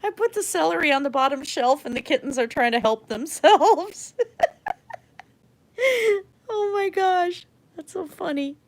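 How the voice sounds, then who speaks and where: A middle-aged woman whose first language was German, hosting a livestream and chatting casually with viewers. She rambles, laughs often and lets her sentences trail off softly. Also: high voice, lively intonation, unhurried, long pauses. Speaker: livestream art host.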